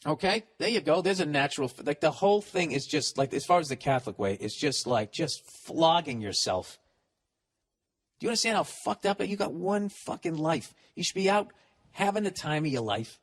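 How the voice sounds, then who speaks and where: A man in his forties rants alone on his podcast, swearing constantly and piling on sarcasm. His speaking voice sits high for a man. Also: expressive, fairly quick. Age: 40s. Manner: profane, ranting, sarcastic. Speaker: podcast host. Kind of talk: solo comedic rant.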